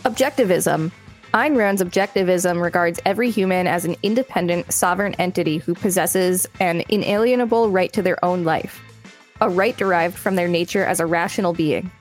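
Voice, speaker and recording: A woman co-hosts a reading podcast in a somewhat low voice, steady through the long passages. She breaks in now and then with dry, wry remarks. Faint music plays under her. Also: brisk. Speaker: podcast co-host. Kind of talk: reading an article aloud with banter.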